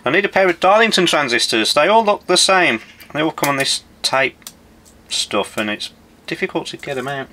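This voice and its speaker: A man's voice: a little high, animated, moderate pace, bright, forceful tone, a little hiss or hum. A man in his forties talking casually and informally through hands-on electronics work as he does it, like a workshop demonstrator. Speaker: electronics repairer presenting a workshop video. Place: indoor electronics workshop at a workbench.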